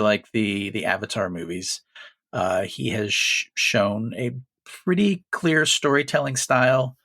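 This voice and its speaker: A man co-hosting a casual film podcast, rambling with frequent restarts and animated bursts. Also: medium pitch, dry recording, studio quality. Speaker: podcast co-host.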